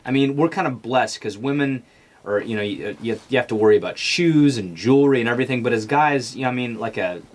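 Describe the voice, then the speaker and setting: A man's voice: medium pitch, a little fast. A man hosting an interview, chummy and joking, often stumbling over restarts. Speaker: interview host. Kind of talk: casual interview chat.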